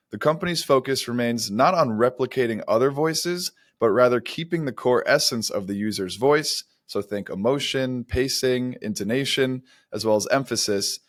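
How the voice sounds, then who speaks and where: A man's voice: mid-range voice, no echo, clean studio sound. A man co-hosting a podcast, giving conversational commentary on business. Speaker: podcast co-host.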